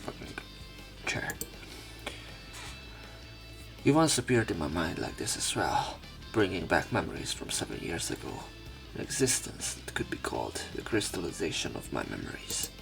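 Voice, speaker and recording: A man's voice: deep voice, wildly swooping pitch, normal speed, creaky, music in the background. A man reading game narration aloud as a let's player, breaking into irreverent, casual commentary. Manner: irreverent, crude asides.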